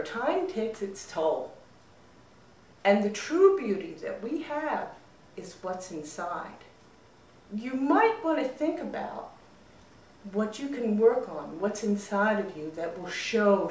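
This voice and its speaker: A woman voicing a patient, wise village healer in a folk story, measured and gently advising. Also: mid-range voice. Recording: roomy echo, distant mic.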